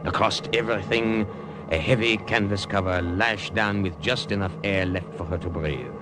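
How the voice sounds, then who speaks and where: An actor as a low-voiced, suave poet spy. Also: male voice, normal speed, lightly acted. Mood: wry narration. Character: suave, smiling poet spy, wry and unruffled. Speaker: narrator and lead character. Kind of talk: 1950s radio acting. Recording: noisy old-time radio recording.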